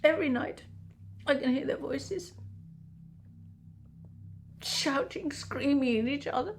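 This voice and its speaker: A woman's voice: high-pitched, takes it slowly, faint music behind. A woman playing a bitter, scornful middle-aged aunt with a British accent, acerbic and dramatic, in an interactive mystery film. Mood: haunted, reflective. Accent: British English. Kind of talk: naturalistic screen acting in an interactive mystery film.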